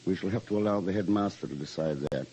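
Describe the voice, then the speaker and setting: An actor as a low-voiced, formal British housemaster. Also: male voice, mellow, slight background noise, close to an everyday voice. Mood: stern. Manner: formal, measured, precise wording. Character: anxious, stern housemaster at an English boys' boarding school. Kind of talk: old-time radio drama acting and first-person narration. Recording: old radio drama with music behind.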